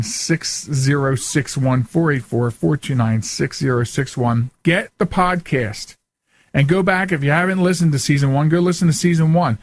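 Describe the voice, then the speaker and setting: A man hosting a radio show in a casual, rambling, conversational way. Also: on the high side. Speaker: radio show and podcast host.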